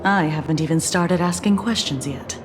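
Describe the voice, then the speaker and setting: A woman voicing a composed, formal investigator in a video game, polite and measured as she gives a warning, with game music behind. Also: lowish voice, background noise, lightly acted. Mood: cool.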